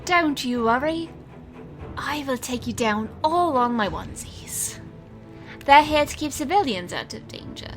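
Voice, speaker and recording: Woman acting a bright-voiced, defiant young stage magician. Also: high-pitched, animated, measured pace, soft background music. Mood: defiant, urgent. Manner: theatrical showman delivery, swinging to hushed narration. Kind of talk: audio drama acting with first-person narration.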